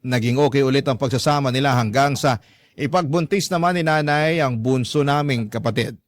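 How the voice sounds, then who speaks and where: A male actor voices a hurt grown son looking back on his life. The narration is scripted and confessional, in an average-pitched male voice. The sound is dry and close, with an earnest delivery. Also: dry recording, natural voice. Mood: wistful. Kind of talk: scripted first-person drama narration.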